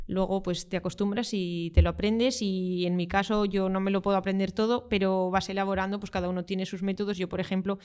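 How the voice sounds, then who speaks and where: Smooth-voiced woman explaining at ease. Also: medium pitch, a little fast, few pauses. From Spain. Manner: relaxed, explanatory, with frequent fillers. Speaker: guest, football translator. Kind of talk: conversational interview answers.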